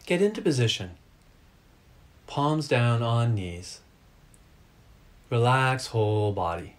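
A man teaches a guided meditation at a very slow pace. His voice sits at a medium pitch. He delivers short, measured instructions in a calm and deliberate way.

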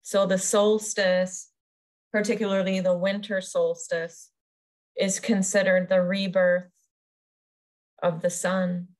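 A woman leading a guided meditation as a yoga nidra teacher, soothing and unhurried, pausing between short phrases. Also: mid-range voice, flat delivery, a little mellow.

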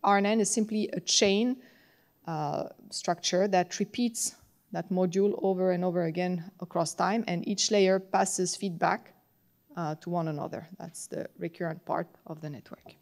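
A woman's voice: lowish voice, steady tone, normal speed, a little mellow. French-accented woman giving a technical lecture. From France. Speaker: conference tutorial presenter. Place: large conference hall, on stage at a podium.

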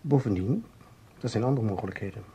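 A man's voice: on the low side, animated, quick pace, warm tone, husky, heavily acted. A man acting a methodical, probing investigator in a Dutch radio play, with a Netherlands accent. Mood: analytical.

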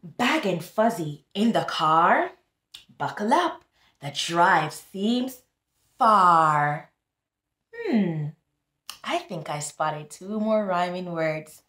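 A woman tutor reads a picture book to small children in an echoey room. Her voice is on the low side and swings expressively in pitch. She goes very slowly and breaks off to explain things directly to her young listeners. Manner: animated, instructive, addressing young children directly. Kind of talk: children's read-aloud with teaching asides.

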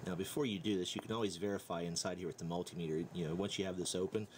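Low-voiced man thinking aloud. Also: steady tone. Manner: unhurried, thinking aloud while working. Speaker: DIY electronics demonstrator. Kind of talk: casual hands-on tutorial narration. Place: indoor workbench. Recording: clear background noise and room ambience.

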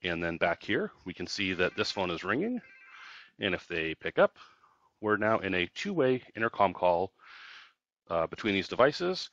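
Low-voiced man giving a product tutorial. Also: very animated, moderate pace, a bit bright, highs cut off. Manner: explanatory, step-by-step. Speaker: company product trainer. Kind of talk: casual product tutorial narration.

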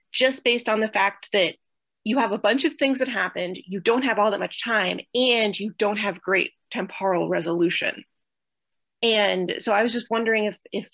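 A woman's voice: mid-range voice, a bit bright, no room sound. A young woman with a mid-range voice speaks on a video call with very little room sound. She reasons aloud, a little tentatively, in a thoughtful academic style. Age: young adult. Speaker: researcher asking a question. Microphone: video call computer mic.

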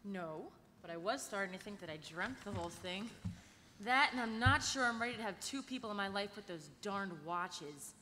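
A woman's voice: mid-range voice, expressive, normal speed, bright, forceful tone. A young woman playing a sweet, smitten young woman in a live table read. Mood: shyly romantic. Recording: reverberant hall, background noise.

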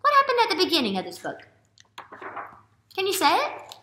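Bright, animated American woman teaching children. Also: very high-pitched, brisk, a little hiss or hum. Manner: animated, expressive, questioning, addressing young children. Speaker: early elementary teacher. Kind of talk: children's read-aloud and lesson. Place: classroom, indoors. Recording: roomy classroom echo.

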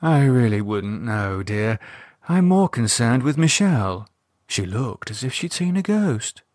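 A man's voice: mid-range voice, sing-song, measured pace, a little mellow, no echo, clean studio sound. A man voicing a languid, refined upper-class woman in an audiobook. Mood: concerned. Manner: drawling, world-weary. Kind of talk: audiobook character voice.

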